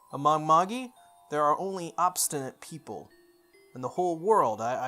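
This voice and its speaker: Expressive game playthrough narrator over music. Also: mid-range voice. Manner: expressive, stumbles and self-corrects while reading. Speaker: video game playthrough host. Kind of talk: reading visual novel text aloud with off-the-cuff asides.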